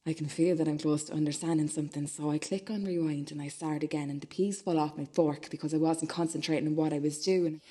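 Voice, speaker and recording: A woman acting an uneasy, obsessive loner in a radio play monologue, hesitant and rambling, with a husky, breathy voice. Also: deep voice, steady tone, fast talker, hardly any pauses, mellow. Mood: fixated.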